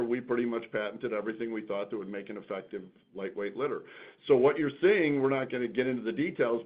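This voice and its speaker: A man, a company executive, speaking off the cuff on an earnings call in a folksy, confident, emphatic way. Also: mid-range voice. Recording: tinny phone-like call line.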